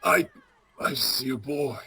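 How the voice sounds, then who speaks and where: A woman playing an anxious, sentimental old male miser in a radio play, stammering and halting with emotion. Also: rumbling low, steady tone, pushed and bright, husky, heavily acted. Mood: sorrowful, regretful.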